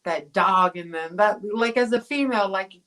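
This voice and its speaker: A woman's voice: medium pitch, normal speed, fairly bright tone. Woman from Tonga, rambling talk. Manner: rambling, many fillers and restarts. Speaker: guest, pro boxer. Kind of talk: casual interview chat.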